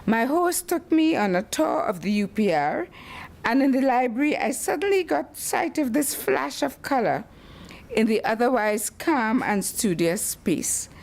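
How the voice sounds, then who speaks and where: Woman, 60s, from the Caribbean, guest lecturer, expressive rising and falling pitch, storytelling manner. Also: a little slow, creaky. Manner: animated storytelling with acted-out quotes. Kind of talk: formal academic talk with personal tribute.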